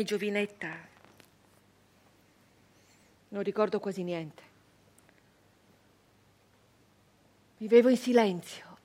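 An actress playing a grief-stricken 19th-century Italian peasant woman turned brigand, in an intense, halting theatrical monologue with repeated words. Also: medium pitch, slightly rough. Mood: withdrawn, sombre. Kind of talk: dramatic theatrical monologue, radio drama.

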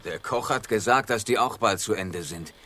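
An actor playing a fed-up, grumbling sailor with a rough voice in a satirical radio play. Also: male voice, on the low side, moderate pace, pushed and bright. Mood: glum.

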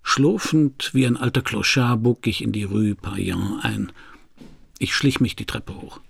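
A man's voice: medium pitch, measured pace, creaky, husky, heavily acted. An actor playing a wry, laconic private detective who narrates his case in a German radio crime drama. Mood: laconic, suspenseful.

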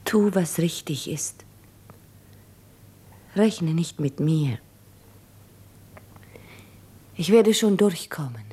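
An actress in a 1950s German radio drama playing a tender, self-reliant young Russian woman, with a soft, restrained delivery. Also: sits low, lively intonation, slow. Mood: resigned, hushed.

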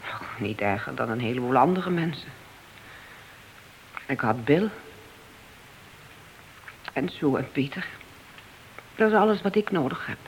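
A woman's voice: a bass voice, lively intonation, a little slow, pauses often, slight background noise, exaggerated voice, rough recording. An actress playing the bitter, wounded wife of a policeman in a radio play, terse and reproachful. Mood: subdued.

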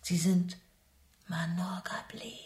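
An actress playing a knowing, confrontational woman with quiet intensity in a radio drama. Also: on the low side, level tone, slow. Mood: knowing, pointed. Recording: echoing room, slightly distant.

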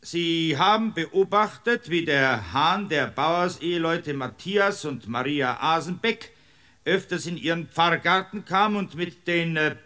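An actor playing an irascible, impatient village-court judge with a Bavarian accent in a radio drama, blustering and sarcastic. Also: male voice, fairly high pitch, unhurried, sharp tone. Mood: sarcastic, stern. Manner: blustering, sarcastic, barking orders. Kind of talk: German radio drama acting.

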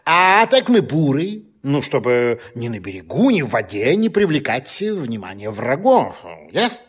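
A man's voice: mid-range voice, big pitch swings, measured pace, slightly rough. An actor playing a wise, cautious, self-satisfied male newt in a children's audio play, grumbling and chuckling expressively. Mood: proud, amused.

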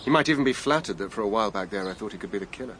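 An actor with a British accent playing an earnest, guilt-driven doctor turned investigator in a radio drama, speaking urgently and articulately. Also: male voice, on the low side, expressive, rapid-fire, close to an everyday voice. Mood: defensive, insistent. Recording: faint background noise.

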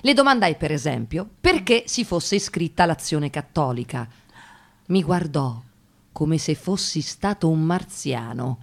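An actress playing an observant interviewer who narrates encounters in a measured, literary, reflective way in a radio drama. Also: female voice, low-pitched, animated, gravelly, exaggerated voice. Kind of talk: scripted first-person narration in radio drama.

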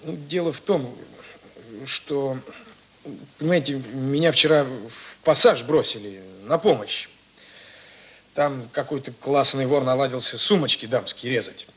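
A man's voice: medium pitch, a little slow, fairly bright tone, breathy edge. An actor playing a flustered, timid junior policeman who stammers and muddles his words in a hissy radio drama recording. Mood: nervous. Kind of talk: Russian radio drama acting.

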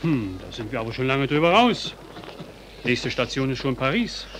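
A man plays a polite train conductor in an old radio drama. He speaks in a medium-pitched voice, unhurried and matter-of-fact, over clear background noise. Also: big pitch swings, natural voice. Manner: courteous, unhurried, insistent. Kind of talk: 1940s German radio play acting. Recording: noisy old radio recording.

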